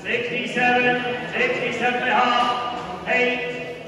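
A man's voice: very high voice, monotone, takes it slowly. A man calling a livestock auction in a repetitive sing-song patter. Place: large indoor livestock auction ring. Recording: distant PA in noisy auction ring.

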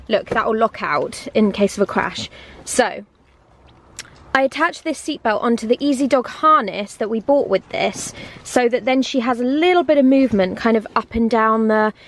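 A young adult woman, chatting casually and informally to camera as a vlogger. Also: on the high side. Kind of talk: casual vlog chat to camera.

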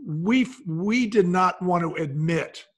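A man with a fairly high, rough-edged voice talks as an interview guest. He explains business in a folksy, emphatic manner. He often poses a question and then answers it himself. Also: slow pace, fairly bright tone. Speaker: guest, business advisor and brand founder.

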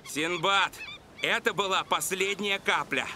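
A man voicing a fed-up, grumbling pirate crewman in an animated film. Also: high voice, measured pace, background noise. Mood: exasperated.

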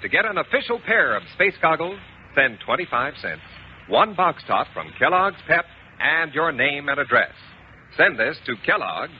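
Animated American announcer on muffled old radio. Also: male voice, fairly high pitch. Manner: emphatic, repetitive salesman delivery. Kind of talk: 1950s children's radio advertising. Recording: muffled, noisy 1950s radio recording.

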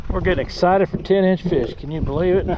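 A man with a slightly high voice talks casually and folksily, repeating short phrases. He is out on a boat on a lake, picked up by a body-worn action camera. Clear wind noise runs behind him. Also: normal speed, dull audio. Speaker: host of a fishing vlog. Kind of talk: casual chat while fishing. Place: outdoors on a boat on a windy lake.

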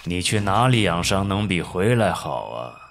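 A man's voice: low-pitched, big pitch swings, normal speed, vocal fry, music in the background, full character voice. An actor playing an older gang boss and father, stern yet indulgent, in an audio drama. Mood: stern concern.

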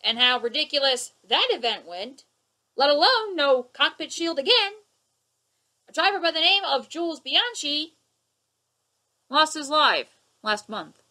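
A woman's voice: high voice, sing-song, measured pace, pauses often, bright, forceful tone. Fired-up young woman ranting at her webcam. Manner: indignant, sarcastic, gestures a lot. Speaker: vlogger. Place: living room, indoors. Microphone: webcam at close range.

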